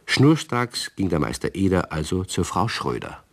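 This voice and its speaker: A man's voice: low-pitched, sing-song, normal speed. A man narrating a children's radio play in scripted storybook style.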